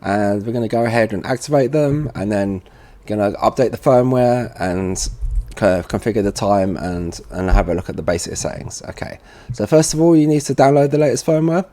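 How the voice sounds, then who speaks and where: A man with a fairly low, very soft-edged, mellow voice presents a screen tutorial. He talks informally and thinks aloud as he goes through each step. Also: normal speed, few pauses. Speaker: tutorial presenter for a security products seller. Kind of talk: casual software walkthrough narration.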